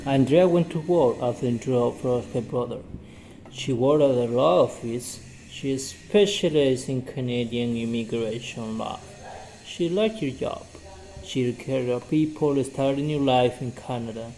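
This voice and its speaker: Man, English teacher, very slow deliberate delivery, mellow voice, repeats himself, question-and-answer drills, faint background noise. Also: medium pitch, rough recording. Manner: repeats sentences, asks and answers his own questions.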